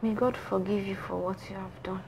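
An actress playing an earnest, reproachful grown daughter in a screen drama. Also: female voice, medium pitch, little pitch movement, a little fast, natural voice. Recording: clearly noisy ambient background.

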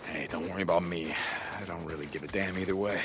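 An actor playing a rugged, cynical American drifter in a video game, blunt and offhand, with a gruff, weary delivery. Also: male voice, sits low, steady tone, fast talker, background noise, lightly acted. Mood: dry, blunt. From Oregon, United States. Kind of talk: naturalistic video game acting.

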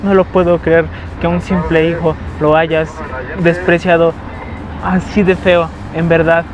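A young Mexican man with a high voice asks strangers absurd questions with a straight face, half pleading. He is recorded on a handheld action camera out on the street, with music playing behind him. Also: flat delivery, unhurried, muffled recording. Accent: Mexican Spanish. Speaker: prankster host. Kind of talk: street prank video. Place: streets, shops and a car lot, mostly outdoors. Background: background music.

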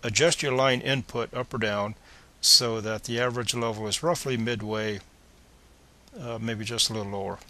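A man's voice: mid-range voice, fairly even intonation, normal speed, bright, forceful tone. A man presenting a step-by-step screencast tutorial in a plain, methodical, instructional manner.

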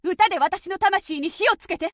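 A woman's voice: very high-pitched, moderate pace, no echo, studio quality. A woman voicing a confident, mysterious young diva, commanding and teasing, in anime-style device prompts. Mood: cool, confident. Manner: commanding, teasing, self-assured.

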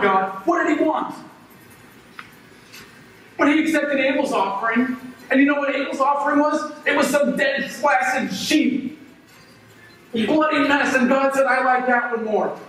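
A man's voice: very high voice, fairly bright tone. A man playing bitter, jealous Cain. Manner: impassioned, swings from brooding to ranting. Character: resentful young male farmer, Cain, jealous and bitter. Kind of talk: first-person dramatic monologue. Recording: very echoey hall, voice from far off, faint noise.

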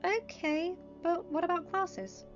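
A blunt, sarcastic teenage girl of magic powers as read by a woman in an audio story. Her voice is very high and irritated, on a dull lo-fi recording with music behind it. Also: steady tone, slow pace. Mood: defiant.

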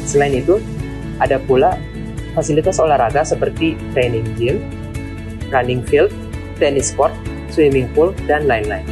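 A man from Indonesia, a student narrating a scripted voice-over in a brisk, even manner. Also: mid-range voice, over music.

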